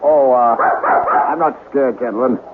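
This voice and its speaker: An actor playing a nervous, apologetic property dealer, hesitant and polite, in a radio drama. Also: male voice, mid-range voice, unhurried, close to an everyday voice. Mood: uneasy. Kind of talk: 1970s radio drama acting. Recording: tinny radio drama recording, faint noise.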